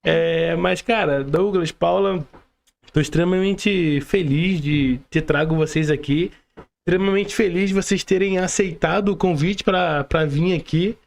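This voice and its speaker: A Brazilian man with a high-pitched voice hosts a casual podcast over a call. He is effusive and informal, often saying things twice for emphasis. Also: measured pace, gravelly. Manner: effusive, informal, repeats himself. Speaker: podcast host. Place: remote video call.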